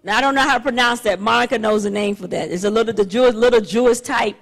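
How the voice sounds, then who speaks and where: A middle-aged woman pastor leading a church service on a handheld mic, chatty and loose, halting with fillers and restarts. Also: on the high side. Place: church sanctuary, indoors. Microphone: handheld microphone. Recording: long church hall reverb.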